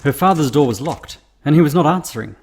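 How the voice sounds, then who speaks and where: A man playing a serious private investigator in a radio mystery play, speaking in a grave, deliberate way. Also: on the high side, lively intonation, darkish tone, breathy edge. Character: serious male private investigator narrating a murder case.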